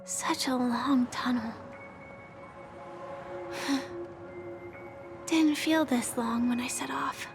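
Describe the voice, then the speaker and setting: An actress playing a gentle, wistful young woman in a video game, soft, breathy and quietly resigned. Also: high-pitched, drawn-out words, pauses often, full character voice. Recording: game music under the voice.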